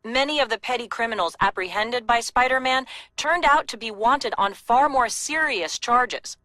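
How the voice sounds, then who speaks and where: An actress playing a polished news reporter, reading a scripted report in a crisp, measured way. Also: female voice, on the high side, sharp tone. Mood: brisk, factual.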